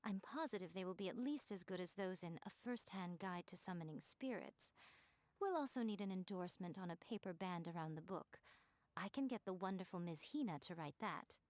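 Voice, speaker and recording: A woman's voice: on the low side, lively intonation, brisk, darkish tone, dry recording, lightly acted. A woman voicing a poised, confident and sly priestess who runs a publisher, speaking in a knowing, teasing way in a video game. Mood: composed, scheming.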